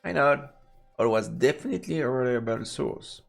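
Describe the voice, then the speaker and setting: A man's voice: mid-range voice, expressive, moderate pace, vocal fry, soft background music. A man doing let's play commentary on a visual novel, reading the narration aloud. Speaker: game streamer.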